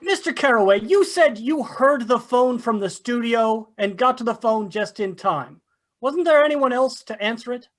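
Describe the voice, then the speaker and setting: A woman's voice: on the high side, expressive, normal speed, close to an everyday voice. A woman playing a shrewd, formal police inspector in an old-time radio mystery. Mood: probing, dry. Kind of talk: golden-age radio mystery acting.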